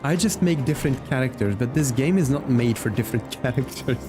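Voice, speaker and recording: Male game streamer, medium pitch, relaxed chatty delivery, reacts aloud, music underneath. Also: brisk. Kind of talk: casual livestream chat while playing. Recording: music behind the voice.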